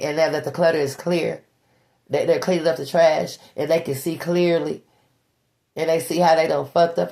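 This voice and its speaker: Blunt, gravelly-voiced woman tarot reader. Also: deep voice, warm tone. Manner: blunt, profane, preachy, repeats herself. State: stuffy nose. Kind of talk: tarot reading monologue.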